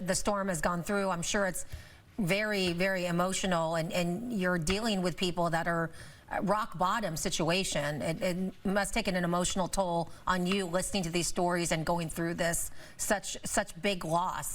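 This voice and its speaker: A woman's voice: on the low side, fairly bright tone. A woman news anchor asking empathetic, conversational questions. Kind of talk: conversational interviewing.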